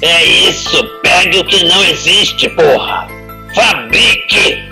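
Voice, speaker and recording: An actor playing a menacing, foul-mouthed crime boss in an audio drama, commanding and threatening. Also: male voice, on the high side, lively intonation, vocal fry, bright, forceful tone, soft background music, heavily acted. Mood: angry, threatening. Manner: commanding, threatening, drawn-out emphasis.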